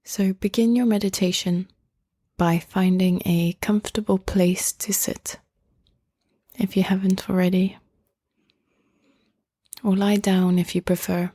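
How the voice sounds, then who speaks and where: A woman hosting a guided meditation in a soothing, gentle, unhurried manner, leaving long pauses between phrases. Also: mid-range voice, little pitch movement, dry recording, studio quality.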